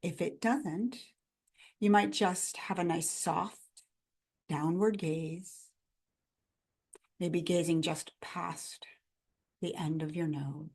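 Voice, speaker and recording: Very slow-paced woman guiding meditation. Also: on the low side, vocal fry, husky. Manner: gentle, calm, instructional; measured phrases with long pauses. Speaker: meditation guide. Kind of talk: guided body scan meditation.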